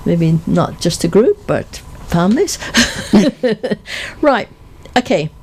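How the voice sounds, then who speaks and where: A woman's voice: medium pitch, very animated, takes it slowly, a little mellow, slight background noise. Chatty Scottish woman hosting a radio chat. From Scotland. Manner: chatty, laughs easily, asks questions. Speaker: radio show host. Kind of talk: casual radio interview.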